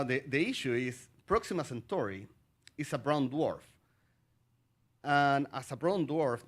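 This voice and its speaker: Man from Chile, middle-aged, astronomer on a panel, halting, lots of fillers, mid-pitched voice. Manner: halting, with many fillers and restarts. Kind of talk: conversational panel talk.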